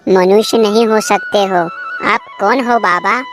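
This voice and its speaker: A woman's voice: mid-range voice, measured pace, music in the background, close to an everyday voice. A woman voicing a poor, kind, earnest village husband in a moral cartoon story.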